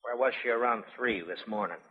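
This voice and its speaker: Man acting a stern, husky-edged frontier marshal, vintage radio. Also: mid-range voice, brisk, lightly acted. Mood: coolly menacing. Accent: American. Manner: terse, authoritative, interrogating. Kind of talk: old-time radio western acting. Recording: muffled vintage radio recording, long reverb.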